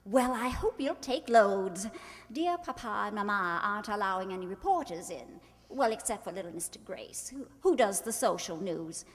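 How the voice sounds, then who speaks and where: A woman's voice: medium pitch, animated, moderate pace, close to an everyday voice. A woman acting a haughty, gushing society bride in a radio play, with a grand, affected manner. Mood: gushing, arch. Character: haughty, sharp-tongued society bride playing gushing hostess. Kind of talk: radio play acting of a 1940s screwball comedy. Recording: reverberant hall stage recording.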